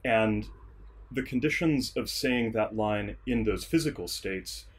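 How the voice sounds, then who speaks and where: A man speaking as an interview guest, giving measured, articulate analysis as a theatre scholar and director. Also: on the low side, husky. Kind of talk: thoughtful analytical interview talk.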